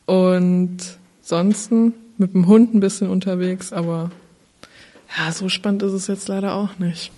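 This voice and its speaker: An eighteen-year-old woman in a casual group chat, talking relaxed and slangy with hesitations. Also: mid-range voice, measured pace. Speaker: podcast participant. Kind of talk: casual round-table chat.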